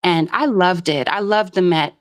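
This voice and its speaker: A woman from Jamaica calling in to a live show, speaking earnestly and at length, with many pauses and "um"s. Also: on the low side. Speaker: caller. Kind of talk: casual call-in commentary.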